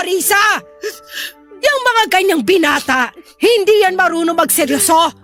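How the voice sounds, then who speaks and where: Hard-drinking Filipino mother, blunt, scolding, piercingly high, radio drama, music underneath. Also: fairly bright tone. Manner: confrontational, blunt, berating. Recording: music behind the voice.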